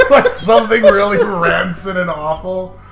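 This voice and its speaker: A man's voice: high-pitched, huge pitch swings, measured pace, vocal fry, echoey room, music in the background. A man co-hosting a casual comedy chat, giggly and rambling as he spins absurd hypotheticals. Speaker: podcast co-host.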